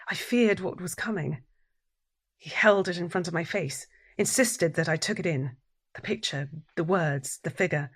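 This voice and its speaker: Withdrawn, troubled wife narrating her own story for audio drama. Lowish breathy voice, deliberate, inward-looking, pausing for weight. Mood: bewildered, uneasy. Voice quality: breathy, husky. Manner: introspective, deliberate, dramatic pauses.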